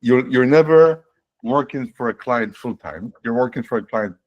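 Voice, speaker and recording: A man in his forties, a guest on a video-call fireside chat, explaining his work in a relaxed way with frequent restarts and "right?". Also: mid-range voice, normal speed, muffled recording. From born in the United States, raised in Saudi Arabia and Canada. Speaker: guest, fractional CTO.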